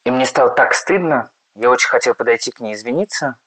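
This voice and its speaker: A man's voice: medium pitch, fast, fairly bright tone, dry recording, low-quality recording. A man in his late twenties calling in with a hesitant, confessional question. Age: late 20s. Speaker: caller. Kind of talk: recorded listener question.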